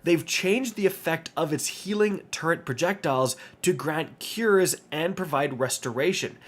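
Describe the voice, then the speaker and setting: Nasal, congested man with a higher voice. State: congested with a stuffy nose. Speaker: gaming video creator and host. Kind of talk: upbeat game update commentary.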